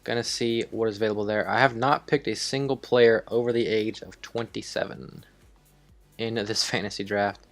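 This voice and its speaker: A man with a fairly low voice hosts a video game series over background music. He talks casually and off the cuff, rambling as he thinks his choices through aloud and swearing offhand. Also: fairly even intonation, moderate pace. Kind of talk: casual improvised gameplay commentary.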